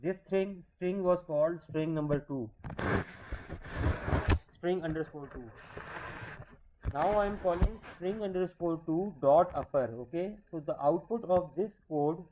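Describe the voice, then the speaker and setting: A man's voice: on the high side, measured pace, pauses often, highs cut off, background noise. A man in his thirties teaching a programming class, explaining step by step and often checking in with "okay?". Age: 30s. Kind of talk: screen-recorded coding lecture.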